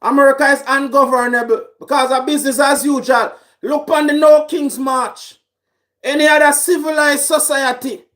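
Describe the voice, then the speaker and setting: High-pitched shouting Jamaican man. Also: little pitch movement, moderate pace. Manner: shouting, raging. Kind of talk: angry political rant.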